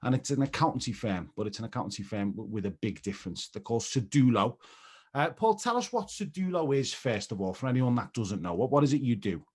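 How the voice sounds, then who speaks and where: A man's voice: medium pitch, animated, fast, slightly rough. A man hosting an interview, chatty and friendly, often repeating words. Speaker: interview host. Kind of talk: casual interview.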